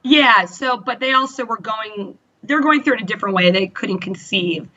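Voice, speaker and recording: A woman's voice: on the high side, a little fast, bright, forceful tone, highs cut off. A woman in her thirties, a guest in an interview, telling her own story in a chatty way with many fillers and quoted self-talk. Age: 30s. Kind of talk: candid personal story.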